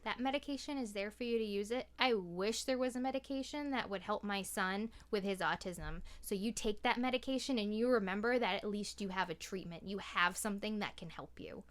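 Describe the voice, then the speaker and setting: A woman talks in a medium-pitched voice, as a guest in a casual podcast chat. She is frank and emphatic, making her points in plain, informal words. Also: a little fast, hardly any pauses. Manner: candid, emphatic, swearing freely.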